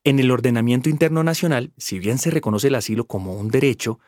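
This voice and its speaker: A man playing a lawyer who patiently explains migrants' rights in a measured, formal way. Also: mid-range voice, darkish tone, no room sound, studio quality. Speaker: lawyer at a public rights office. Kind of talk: scripted explanatory radio drama.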